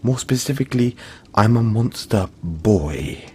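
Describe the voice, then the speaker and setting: A big furry yeti played by a man talks in a deep voice over faint background noise. He is soft-hearted and apologetic, soothing the listener with halting, playful chat in an intimate audio roleplay. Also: expressive. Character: big cuddly male yeti monster, friendly, apologetic, gentle.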